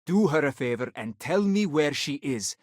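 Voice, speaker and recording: A haughty, husky, wealthy father. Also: a little high, lively intonation, moderate pace, no echo, studio quality. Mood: indignant, threatening. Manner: haughty, formal, exasperated. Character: wealthy, indignant, stubborn father hunting his runaway daughter. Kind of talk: video game voice acting.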